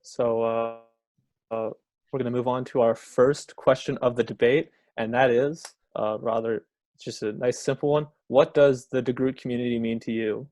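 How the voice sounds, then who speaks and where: A young man moderating a student debate in a relaxed, filler-heavy way. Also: medium pitch, normal speed, dry recording.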